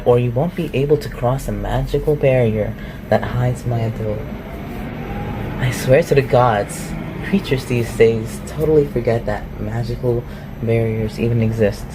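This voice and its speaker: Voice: mid-range voice, background noise, natural voice. A performer in an ASMR roleplay voicing an ancient, reclusive shapeshifter, haughty and awkward, with formal, archaic phrasing. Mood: impatient, grudging. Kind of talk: ASMR roleplay, scripted one-sided dialogue.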